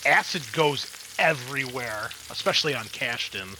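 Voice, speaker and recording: Male game master, bright forward voice, dramatic tabletop narration that drops into casual rules explanations. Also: mid-range voice, lively intonation, moderate pace, slight background noise, poor audio. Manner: dramatic scene-setting mixed with casual rules talk.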